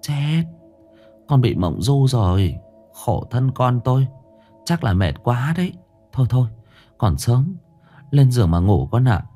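Frightened village widow, put on by a male narrator, high and pleading, swooping pitch. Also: sing-song, a little slow, pauses often, warm tone, faint music behind. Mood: anxious. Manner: pleading, shrill with shame.

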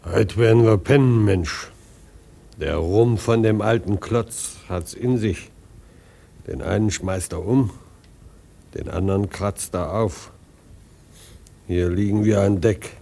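Slow-talking, rough sailor. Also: male voice, on the low side, dark tone, full character voice. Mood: rousing, drowsy. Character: rough, weary, dreamy ordinary sailor. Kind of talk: German radio drama acting.

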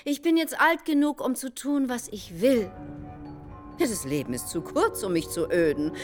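An actress playing a scheming, impatient middle-aged society hostess in a radio play, theatrical and emphatic, sometimes dropping into confiding hushed tones. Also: female voice, fairly high pitch, very animated, normal speed. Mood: exasperated. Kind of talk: German radio play acting. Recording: music behind the voice. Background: music.

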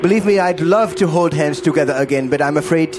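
An actor playing a rueful detective on the run in a live noir radio play, halting yet insistent. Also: male voice, on the high side, background noise, lightly acted. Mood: wry, cautious. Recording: live stage radio play over loud music.

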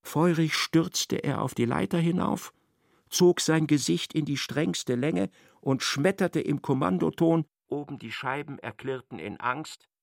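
A man narrating a literary radio play in an expressive, measured storytelling manner. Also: fairly high pitch, creaky, dry recording, studio quality.

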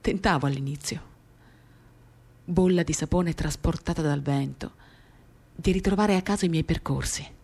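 A woman giving a dramatic reading of a literary first-person monologue, measured and expressive, in short phrases. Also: sits low, breathy edge. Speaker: reader of a novel for radio theatre. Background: acoustic guitar.

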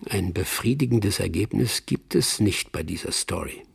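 An actor playing a wry old friend who narrates an epilogue in a radio play, deliberate, with a husky, gravelly voice. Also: male voice, low voice, heavily acted. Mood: wry, reflective.

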